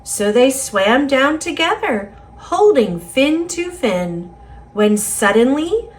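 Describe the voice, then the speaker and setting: A woman with an American accent reading a children's picture book aloud in an expressive, singsong rhyming delivery. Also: sits high, slow pace. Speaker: storybook reader.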